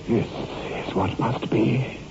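An actor playing a tormented, brooding surgeon with agitated, melodramatic delivery in a 1960s radio horror drama. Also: male voice, fairly low pitch, sing-song, takes it slowly, gravelly, exaggerated voice. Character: tormented male surgeon obsessed with death at his hands. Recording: muffled, noisy old radio recording.

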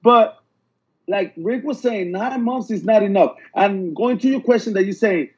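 A man's voice: high-pitched, moderate pace, husky, dull audio. A man from Puerto Rico chatting on a podcast, earnest and insistent, often repeating his phrases. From Puerto Rico, raised in the mainland United States. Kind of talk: casual podcast chat.

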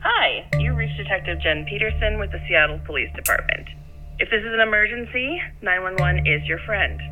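A female detective gives a businesslike voicemail greeting. The sound is a narrow, tinny phone line and her pitch is mid-range. A slightly wry touch suits the audio drama. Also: expressive, a little fast, music in the background. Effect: telephone voicemail filter.

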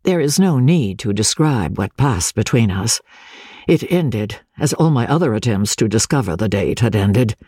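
A woman narrating classic literature as a dramatic audiobook reading, with measured, formal, deliberate phrasing. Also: rumbling low, expressive, warm tone, husky, dry recording, clean studio sound.

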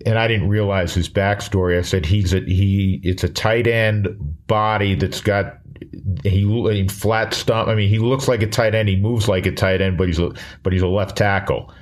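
A man's voice: fairly low pitch, fairly even intonation, moderate pace. A man interviewing casually about sports, working his way to the point in rambling, roundabout sentences.

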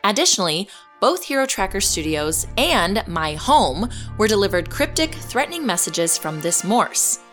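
A composed woman journalist hosting an audio fiction podcast. She delivers a scripted announcement in an earnest, measured way at a middling pitch, with soft music behind her. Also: very animated. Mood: serious, resolute. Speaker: podcast host.

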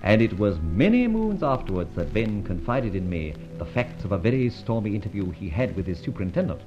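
A man playing an urbane, self-satisfied gentleman thief, with wry theatrical delivery in an old radio drama. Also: lowish voice, sing-song, a little fast, music in the background. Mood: smug, reflective. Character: suave, self-satisfied gentleman thief recounting his adventures. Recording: dull old radio recording.

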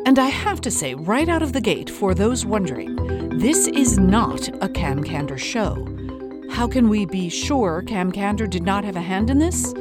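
A woman's voice: medium pitch, sing-song, measured pace, over loud music. A woman giving a scripted podcast introduction as presenter, in a wry, tongue-in-cheek manner.

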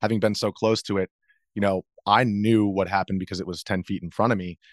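An American man speaking as an interview guest, explaining things in a measured, conversational way. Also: on the low side, fairly even intonation, no room sound, clean studio sound. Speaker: interview guest, video journalist.

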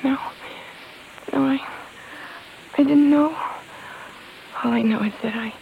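An actress playing a brash, devoted singer wife in 1950s radio drama, slangy and emotional. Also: female voice, high-pitched, takes it slowly, gravelly, phone-quality sound, a little hiss or hum, full character voice. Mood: emotional, startled. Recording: vintage 1950s radio broadcast.

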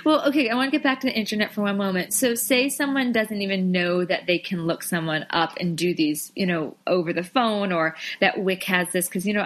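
A woman's voice: fairly low pitch, a bit bright. A woman hosting a podcast, asking curious, chatty questions. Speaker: podcast host. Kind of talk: casual interview.

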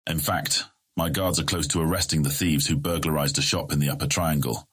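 An actor playing a scheming, politely persuasive male merchant guildmaster in a fantasy audiobook, formal and measured in manner. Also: a bass voice, fairly even intonation, fairly bright tone, slightly rough, exaggerated voice. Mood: placating. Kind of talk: full-cast fantasy audiobook acting.

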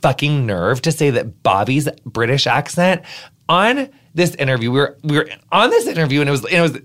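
A man's voice: very animated, fairly quick, a bit bright. An American man hosting a podcast, chatting in a bubbly, rambling way with lots of fillers and restarts. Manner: bubbly, rambling, swings high in pitch. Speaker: podcast host. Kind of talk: casual podcast chat.

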